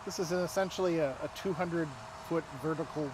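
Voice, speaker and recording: A man's voice: fairly high pitch, fairly even intonation, a little fast, background noise. A man talking casually and technically outdoors, as a radio hobby expert helping a friend.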